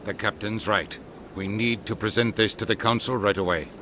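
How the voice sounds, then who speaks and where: Brusque, low-voiced skeptical official. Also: male voice, fairly even intonation, moderate pace, sharp tone, a little hiss or hum. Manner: brusque, sarcastic, authoritative. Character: exasperated, skeptical middle-aged male ambassador. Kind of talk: video game voice acting.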